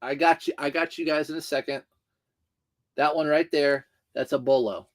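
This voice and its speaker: A man's voice: a little high, fairly even intonation, normal speed. A man in his thirties hosts a casual livestream, packing orders and chatting with viewers in a joking, talkative way. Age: 30s. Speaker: reseller hosting a livestream.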